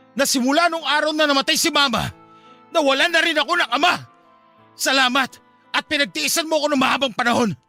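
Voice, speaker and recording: An actor playing an anguished young adult son in a Filipino radio drama, bitter and pleading, with emotional delivery. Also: male voice, very high-pitched, bright, forceful tone, full character voice. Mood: tearful, defiant. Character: anguished adult son confronting his cold father. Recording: music bed behind the voice.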